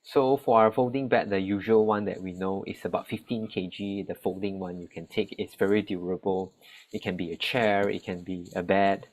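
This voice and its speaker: A young man with a Malaysian Chinese accent, giving a talk about relief work, halting often with fillers and restarts. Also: sits low, steady tone, a little mellow, poor audio. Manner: hesitant, many fillers and restarts. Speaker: relief volunteer. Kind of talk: spoken talk.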